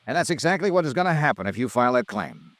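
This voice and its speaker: An actor playing a world-weary, blunt American insurance investigator in a 1950s radio detective drama, speaking in clipped, cynical lines. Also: male voice, mid-range voice, very animated, fast, fairly bright tone, no echo. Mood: blunt, accusing. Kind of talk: 1950s radio detective drama acting with first-person narration.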